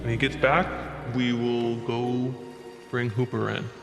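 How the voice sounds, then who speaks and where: A man delivers in-character lines in a tabletop game. His mid-range voice rings with long reverb. Clear music plays underneath. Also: medium pitch, level tone, unhurried. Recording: long reverb, roomy echo.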